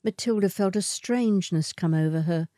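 A woman reading a literary short story in a measured, atmospheric way. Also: fairly low pitch, mellow, no echo, studio quality.

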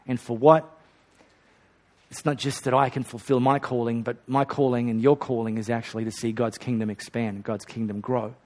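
A man's voice: medium pitch, level tone, moderate pace, a little mellow. A male pastor preaching a sermon and leading an altar call in a church. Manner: earnest, leads a repeat-after-me prayer. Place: hot church auditorium.

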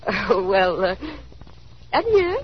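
An actress playing a chatty, anxious young small-town woman putting on airs in a 1930s radio drama, with a gushing manner and nervous little laughs. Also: medium pitch. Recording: dull, hissy 1930s radio recording.

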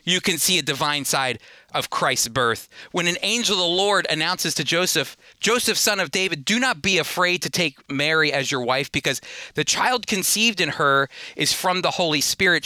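A man hosting a radio Bible program, teaching in a sermon-like style with earnest, emphatic, deliberate phrasing. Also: on the high side, sharp tone, no room sound.

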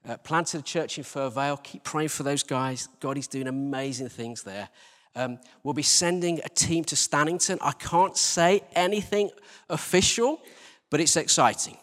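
A man with a British accent and a high-set voice preaches informally in a very echoey hall. He talks to the congregation conversationally, pokes fun at himself, and leans on repeated phrases and rhetorical questions. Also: a bit bright. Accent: British English. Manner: conversational, self-deprecating, with rhetorical questions and repetition. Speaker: church team leader preaching. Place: large reverberant indoor church space. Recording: live church hall, long reverberation.

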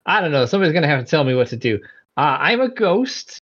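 A man's voice: a little high, very animated, quick pace, a bit bright, breathy edge, lo-fi sound, exaggerated voice. A man playing a friendly ghost who died in the eighties, rambling and easily amazed. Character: naive male ghost from the eighties, cheerful.

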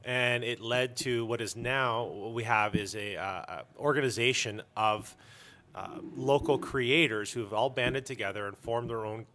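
A man telling stories informally as an interview guest, animated and a little self-deprecating. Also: medium pitch, moderate pace, a little hiss or hum. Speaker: interview guest, comic store owner. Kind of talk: casual storytelling interview.

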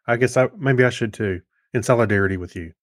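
A man of average pitch co-hosts a podcast in an easygoing, good-humored manner. He chats casually and reads comments aloud, recorded close with very little room sound. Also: fast, no echo. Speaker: podcast co-host. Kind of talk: casual chat, reading listener comments.

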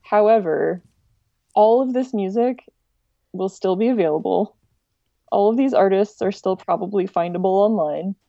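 Mellow-voiced woman, casual interview guest. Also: mid-range voice, normal speed, creaky, no echo, highs cut off. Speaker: interview guest, director of an online music archive.

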